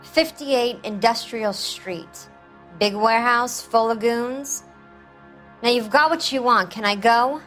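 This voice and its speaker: A brassy female crook in a 1940s crime game, with a fairly high voice over music. She is slangy and wheedling, full of self-pity and coaxing. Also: slow, fairly bright tone. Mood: pleading, resigned.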